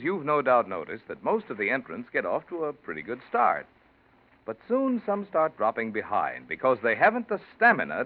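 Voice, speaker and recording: An American man announcing and reading sponsor copy on 1940s radio in a polished, emphatic sales delivery. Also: medium pitch. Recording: tinny 1940s radio, faint music.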